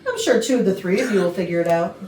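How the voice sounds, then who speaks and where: A woman's voice: fairly low pitch, expressive, darkish tone, a little hiss or hum, lightly acted. A woman playing a sly, meddling colleague with emphatic theatrical delivery in a staged comedy reading. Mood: egging on. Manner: emphatic, theatrical drawn-out words. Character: meddling, sly female office colleague egging others on. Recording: echoey rehearsal room from far off.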